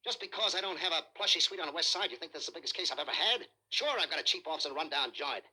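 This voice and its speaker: An actor playing a cynical, cocky private detective in a vintage radio suspense drama, slangy and needling. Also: male voice, a little high, very fast, bright, forceful tone, husky, exaggerated voice. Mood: indignant boasting. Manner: slangy, tough-talking, needling.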